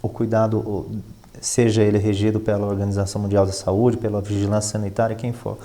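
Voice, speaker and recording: Male interview guest, lowish pitch, dark relaxed tone, formal measured delivery with halting phrases. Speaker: finance professional and professor, interview guest. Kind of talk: conversational interview answers.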